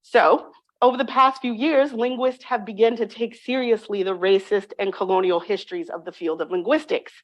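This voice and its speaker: A woman's voice: mid-range voice, steady tone, moderate pace, rough recording. Woman giving a formal academic lecture.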